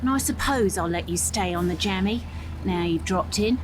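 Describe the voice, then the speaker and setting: An actress playing a tough, sharp-tongued young female rat boat captain with a British accent in a game cutscene. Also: mid-range voice, moderate pace, very noisy, close to an everyday voice. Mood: grudging, brisk. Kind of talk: video game cutscene acting.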